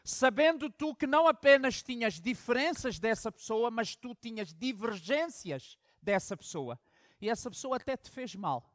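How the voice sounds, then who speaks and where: A pastor from Angola preaches from a story, booming on key words and then dropping to hushed asides. The sound is close and dry, with no room around him. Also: male voice, expressive, moderate pace, no room sound. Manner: storytelling preacher with dramatic swings from loud emphasis to hushed asides. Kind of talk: sermon.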